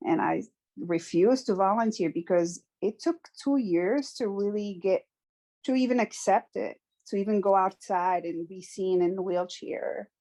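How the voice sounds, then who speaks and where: A woman speaks in a middle-pitched, conversational way. She is earnest and reflective and often stops to restart a thought. Her delivery is that of a guest telling a personal story in an interview. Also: unhurried, mellow. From Morocco.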